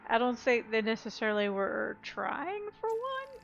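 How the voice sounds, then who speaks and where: A woman gamer chatting loosely as she plays, rambling with lots of fillers. Her voice sits a bit high, and clear game music plays under her. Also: wildly swooping pitch, measured pace, muffled recording. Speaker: let's play gamer. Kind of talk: casual game commentary.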